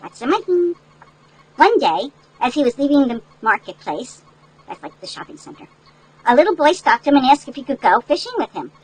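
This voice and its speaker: An older woman reading a picture book aloud to children in an animated, folksy way, with chatty asides that explain things. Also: high voice, normal speed, breathy edge, rough recording. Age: older, great-grandmother. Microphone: headset.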